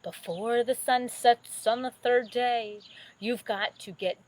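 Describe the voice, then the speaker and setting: A woman's voice: on the high side, fairly even intonation, slow pace, voice pushed up. A woman voicing a scheming, gleeful sea witch villain from a children's storybook, drawing out her words. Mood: sly, gloating.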